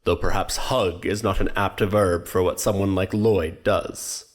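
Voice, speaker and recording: Actor as measured, formal reenactment director. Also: low-pitched, steady tone. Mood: measured, clinical. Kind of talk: audio drama acting.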